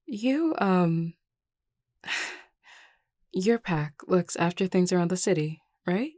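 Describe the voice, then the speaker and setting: A shy, awkward vampire leader voiced by a woman in an audio roleplay. She is polite and apologetic, stammering and hesitating in a mellow voice, recorded dry. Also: lowish voice, animated, slow pace, no echo. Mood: flustered. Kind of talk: scripted audio roleplay acting.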